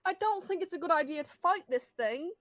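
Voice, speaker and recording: A woman's voice: very high-pitched, animated, brisk, fairly bright tone, no room sound, studio quality. A woman talking casually as a player in a tabletop roleplaying game.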